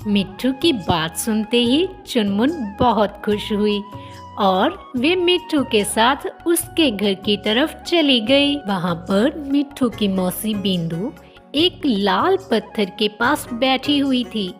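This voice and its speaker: A woman's voice: a little high, expressive, slow pace, faint music behind. A woman narrating a scripted story for children.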